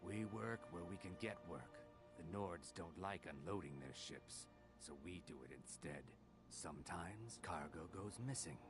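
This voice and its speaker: Lizardfolk dockhand, very low voice, blunt, resentful. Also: male voice, a little slow, music in the background. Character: gruff male lizardfolk dockworker, proud and bitter.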